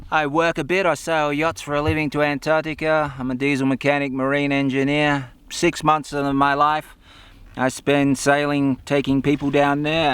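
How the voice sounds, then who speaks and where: A man's voice: mid-range voice, fairly bright tone, clear, resonant tone, no echo. A man, chatting casually and easily about his life on a bike. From Australia. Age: 40s. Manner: easygoing, chatty. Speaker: touring cyclist. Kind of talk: casual interview.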